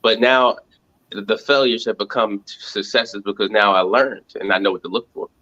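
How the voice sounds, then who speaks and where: A man, answering casual interview questions in a halting, reflective way, thinking aloud. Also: lowish voice, steady tone, sharp tone, highs cut off. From Montgomery, Alabama, United States. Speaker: reality show contestant interviewed as guest.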